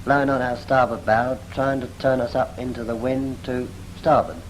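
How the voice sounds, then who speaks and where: A man's voice: medium pitch, little pitch movement, normal speed, lightly acted. A man playing an eager, obedient trainee helmsman with a British accent in a scripted 1940s naval training film, deferential in his answers and questions. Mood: attentive. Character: eager, obedient novice sailor learning to steer. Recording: noisy 1940s film soundtrack.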